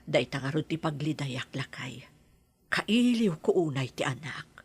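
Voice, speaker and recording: An actress with an Ilocano accent playing a kindly, childless married landlady in an audio drama, with a husky voice. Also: low voice, expressive, measured pace, dry recording, heavily acted. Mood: wistful.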